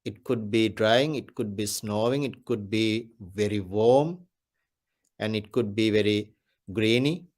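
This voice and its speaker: Man, Buddhist monk, Dhamma talk, unhurried, repetitive, measured. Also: fairly low pitch. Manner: slow, deliberate, repeats key phrases.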